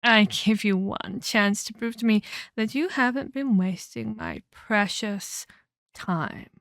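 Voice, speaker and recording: A woman playing a condescending, sinister female vampire captor in an ASMR audio roleplay, speaking in a deliberate, theatrical, taunting way. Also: mid-range voice, fairly bright tone, no echo. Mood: menacing.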